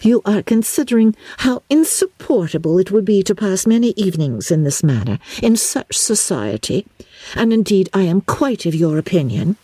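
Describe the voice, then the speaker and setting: A woman's voice: mid-range voice, very animated, moderate pace, warm tone, slightly rough, no room sound, voice pushed up. A woman voicing a snobbish society lady with an affected, gushing, archly mocking manner in an audiobook. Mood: disdainful.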